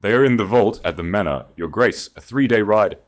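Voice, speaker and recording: A quiet spymaster murmurs deferential replies to his lord, as a man voices him. The tone is hushed and respectful, deep yet careful. It belongs to a storybook reading of secrets and loyalty. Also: animated, a little fast, lightly acted.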